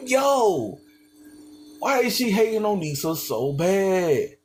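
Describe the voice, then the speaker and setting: A man's voice: high voice, sing-song, extremely slow, a little mellow. A man streaming, reacting to a video with slangy, incredulous casual commentary.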